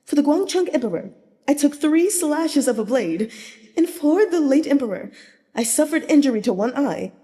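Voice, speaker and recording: A scheming male courtier voiced by a woman protests his loyalty in an audiobook drama. The voice is pushed high into melodramatic, self-pitying pleading. Long reverb surrounds it. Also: expressive, moderate pace, dark tone. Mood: wailing, aggrieved. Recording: long reverberant hall echo.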